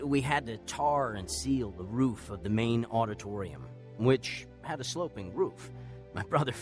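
A lowish voiced man telling his bitter lonely youth story, radio drama, music under it. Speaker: narrator and lead actor. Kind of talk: scripted first-person radio drama narration.

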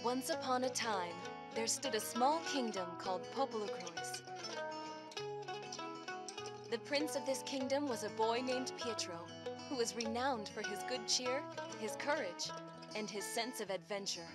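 A woman's voice: fairly high pitch, unhurried, natural voice. A woman reading a scripted storybook prologue as narrator of a fairy-tale video game. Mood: gentle storytelling. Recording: plucked-string music loudly behind narration.

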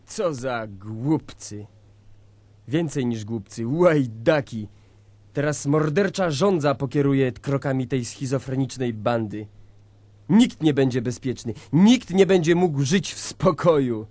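An actor playing a defiant revolutionary idealist with a grave, deliberate dramatic delivery. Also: male voice, mid-range voice, lively intonation, a little mellow, no echo.

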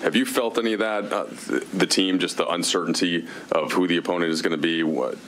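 A man's voice: low voice, fairly even intonation, fairly quick, fairly bright tone, slight background noise, rough recording. A man, a reporter, asking rambling questions at a press conference. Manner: rambling, self-correcting questions.

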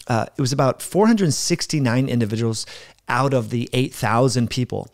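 A man's voice: fairly low pitch, a little mellow. Earnest man in his forties explaining health. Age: 40s. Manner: brisk, earnest, listing points. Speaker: health channel host. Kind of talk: solo health explainer to camera. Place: indoor home room. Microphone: studio mic on boom arm, close.

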